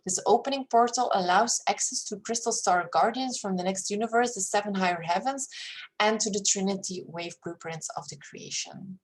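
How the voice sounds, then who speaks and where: A woman from Belgium with a Flemish accent, whose first language is Flemish, reading a spiritual paper aloud in a careful, steady way. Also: medium pitch, moderate pace, poor audio. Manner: careful, steady reading with hesitant fillers when speaking freely. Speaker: reader of a paper. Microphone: screen-share recording.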